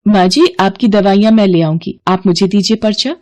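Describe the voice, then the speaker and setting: A woman voicing a gentle, dutiful young wife in a storybook drama, speaking politely and earnestly. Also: mid-range voice, fairly even intonation, normal speed, natural voice.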